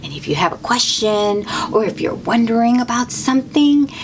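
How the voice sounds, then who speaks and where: A woman's voice: a little high, lively intonation, moderate pace, slight background noise, poor audio. A woman reading a picture book aloud to young children, exclaiming and adding encouraging asides addressed straight to them.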